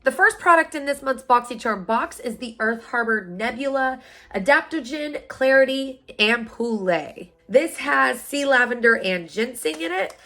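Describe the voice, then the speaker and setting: A woman in her thirties, a beauty vlogger, sounding hoarse and stuffed up from a sinus infection while chatting upbeat straight to her viewers about products. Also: high voice, animated, a little slow, bright, forceful tone. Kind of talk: product unboxing review.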